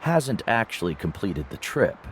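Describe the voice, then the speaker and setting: A deep, wise guide mentor. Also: male voice, very animated, slight background noise. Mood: reflective. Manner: measured, philosophical. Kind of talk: dramatic game dialogue. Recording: music behind the voice.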